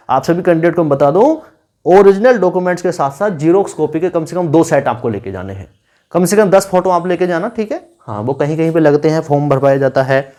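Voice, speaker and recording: A man's voice: on the high side, a little mellow. A man giving informal instructional advice to candidates, repeating key points for emphasis like a coach. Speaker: exam-guidance YouTuber advising police recruitment candidates. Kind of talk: informal instructional video talk.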